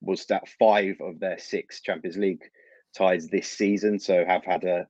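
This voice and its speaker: A young London man with a London accent, a football journalist explaining things in a measured way with fillers and soft laughs, speaking as a guest over a video call. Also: low-pitched, steady tone, no room sound, dull audio. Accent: London English. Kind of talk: casual interview chat.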